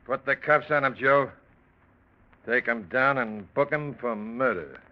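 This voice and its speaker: A man's voice: mid-range voice, measured pace, music in the background, natural voice. An actor playing a curt, demanding hard-boiled policeman in a 1940s radio crime drama. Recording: muffled old radio broadcast.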